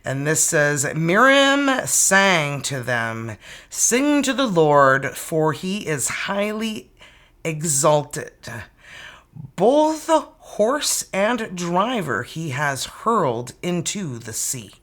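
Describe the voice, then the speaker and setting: A tarot reader in their fifties, talking in a loose, chatty way and breaking into exclamations. Their speaking pitch sits in the middle of the usual range. Card quotes are read out slowly and carefully. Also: very animated, a bit bright. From Canada. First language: French. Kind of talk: casual tarot reading to camera.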